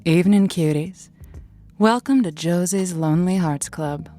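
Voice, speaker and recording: Wry, confiding late-night radio hostess played by an actress. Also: female voice, lowish voice, a little slow, dark tone, soft background music, close to an everyday voice. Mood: intimate, reflective. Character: Josie, wry, soothing female late-night radio call-in host. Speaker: radio show host. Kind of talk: scripted radio drama, intimate monologue and chat.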